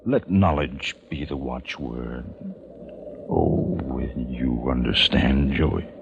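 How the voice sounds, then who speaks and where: An actor playing a very old, nearly blind patriarch in a 1940s radio drama, wistful and earnest, halting and repeating his phrases. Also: extremely low pitch, background noise, full character voice. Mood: solemn, earnest. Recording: muffled old radio recording with music.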